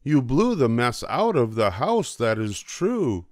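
A man's voice: mid-range voice, very animated, slow, no room sound, studio quality, voice pushed up. An older man voicing a worried, scolding child in a children's storybook, protesting emphatically. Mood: alarmed. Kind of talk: children's storybook character reading.

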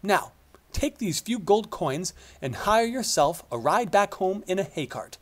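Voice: medium pitch, animated, measured pace, voice pushed up, lightly acted. A reader doing a vain, formal king. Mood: commanding. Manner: formal royal speech. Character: vain, lunch-minded male king.

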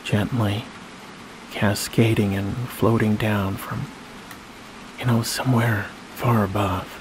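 Low, even-toned man guiding sleep hypnosis. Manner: slow, soothing, long pauses between phrases. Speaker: hypnosis guide. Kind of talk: guided sleep meditation. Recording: steady rain-like noise behind voice.

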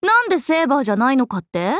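A woman voicing a playful, cheerful young swordswoman in an anime mobile game, breezy, expressive and teasing like a big sister. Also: high-pitched, racing, no echo, clean studio sound. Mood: flustered. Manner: breezy, expressive, teasing, casual with sudden formal turns.

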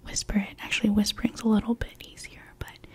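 A woman whispering a gentle, patient language lesson in ASMR style, her first languages Mandarin and Cantonese. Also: medium pitch, level tone, quick pace, breathy edge. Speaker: language teacher.

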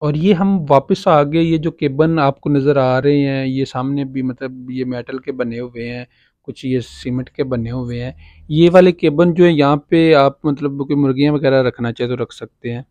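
A man with a Pakistani Urdu accent, talking casually as he walks a property and points things out. His voice sits at a medium pitch. It is recorded close and dry on a handheld phone. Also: fairly even intonation, normal speed, a little mellow, dry recording, lo-fi sound. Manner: casual guiding, filler-heavy, pointing things out. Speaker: property agent. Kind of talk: walking property tour. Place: outdoors on a farm property, walking.

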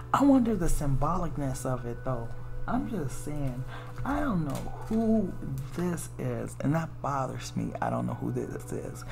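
A woman's voice: very deep voice, creaky, darkish tone. An American woman giving a casual, chatty tarot reading, musing aloud in informal, slangy, salty language. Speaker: tarot reader.

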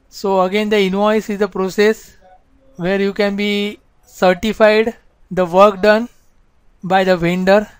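A woman with a smooth, clear voice works as a software instructor. She explains procedures step by step in a training lecture, at a middling pitch. The sound is dry and close. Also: dry recording.

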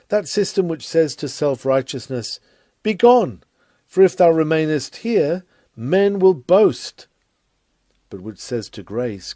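A man reading a scripted sermon aloud in a solemn, measured, oratorical manner. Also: a little high, warm tone, no room sound. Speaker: sermon reader.

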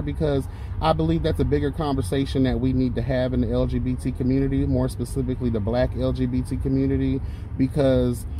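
A man's voice: mid-range voice, mellow, low-quality recording. A young man from Florida, chatting while driving in a sassy, chatty way, calling out "girl" and "honey" as he talks about the shows he watches. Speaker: vlogger. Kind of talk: casual chat while driving. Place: inside a car, driving. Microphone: phone mounted on the dashboard. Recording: car phone recording with road noise. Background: car and traffic noise.

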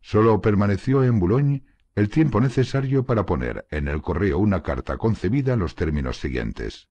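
A man with a husky voice reading a classic novel aloud as an audiobook narrator, in a measured, steady manner. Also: lowish voice, no echo. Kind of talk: scripted literary narration.

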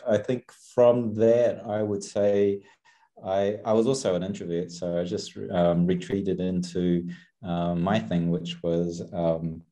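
Slow, low-voiced hesitant New Zealand man. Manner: hesitant, many ums and restarts, soft-spoken. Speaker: guest. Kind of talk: casual interview over video call. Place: small room with chalkboard, indoors. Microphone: headset mic.

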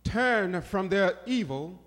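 A man with a high voice that swings freely reads Scripture aloud at a stand mic, putting in quick amens between the preacher's lines. The church room carries a long reverb. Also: animated, a bit bright. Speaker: Scripture reader. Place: small church sanctuary.

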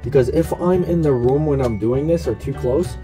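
A man's voice: mid-range voice, normal speed, over music. Casual man reviewing a product.

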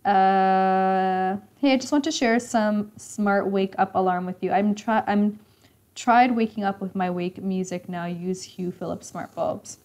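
A woman of twenty-five, a little raspy today, hosting a live stream and chatting as she reads comments, teasing and laughing often. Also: mid-range voice, fairly even intonation, a little slow, darkish tone. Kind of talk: casual live stream chat reading comments. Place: indoor room with leather couch.

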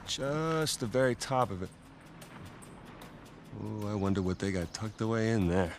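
A man's voice: fairly low pitch, a little slow, soft background music. An actor with an American accent playing a wry, skeptical middle-aged rogue and older brother in a game cutscene, needling as he talks. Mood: wry, teasing. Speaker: video game character. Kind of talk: naturalistic game cutscene dialogue.

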